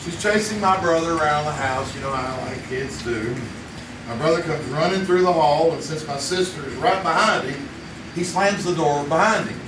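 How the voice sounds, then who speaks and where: A man plays an easygoing middle-aged friend, chatty and quick to react. His voice sits a little high. It was recorded live in a roomy theater from a distance, under loud room noise. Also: lightly acted. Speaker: campfire friend in a radio play. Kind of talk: live old-time radio play acting.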